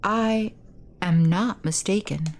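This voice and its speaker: A knowing, composed young woman from a horror game, acted by a woman reading aloud. She speaks in a mid-range voice with formal, measured phrasing and a solemn temper. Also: medium pitch, slight background noise. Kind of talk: dramatic read-aloud of game dialogue.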